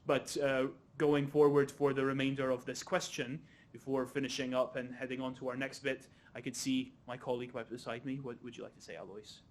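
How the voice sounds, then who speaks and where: A young man with a slight Scottish accent moderating a panel discussion, speaking in measured, formal phrasing with repeated intensifiers. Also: mid-range voice, flat delivery, slight background noise. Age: young adult.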